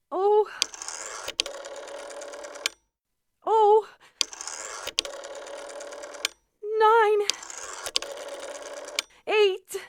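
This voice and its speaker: A woman's voice: very high-pitched, noisy background, exaggerated voice. A woman playing a frantic, self-pitying invalid wife in a 1940s-style radio suspense drama, nervous, demanding and hysterical. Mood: despairing panic.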